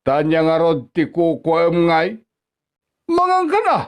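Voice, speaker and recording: An actor with an Ilocano accent playing a bullying, scornful middle-aged father-in-law in a radio drama, mocking and quick to anger. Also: fairly high pitch, huge pitch swings, clear, resonant tone, heavily acted. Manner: mocking, haranguing. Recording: background music under radio drama.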